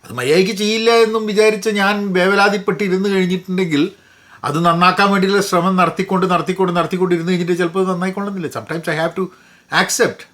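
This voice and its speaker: A man's voice: high voice, fairly even intonation, racing, fairly bright tone. A man with a Malayali accent giving a casual, explanatory book review, mixing in English phrases and repeating words for emphasis.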